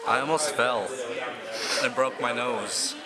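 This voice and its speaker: A man's voice: mid-range voice, slow pace, over music. A young man giving casual interview answers as a student actor. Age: young adult. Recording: loud crowd chatter behind.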